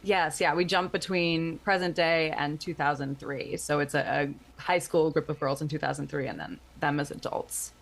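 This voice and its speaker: Female interview guest, 30s, slightly low voice, casual and conversational podcast-creator type. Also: steady tone, normal speed, creaky, hardly any pauses.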